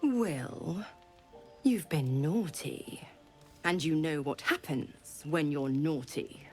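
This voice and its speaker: A woman's voice: low-pitched, slow pace, soft background music. An actress playing a smug devil patron in a video game, mocking and theatrical in her condescension. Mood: gloating, teasing. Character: taunting devil woman, a smug infernal patron. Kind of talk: dramatic video game voice acting.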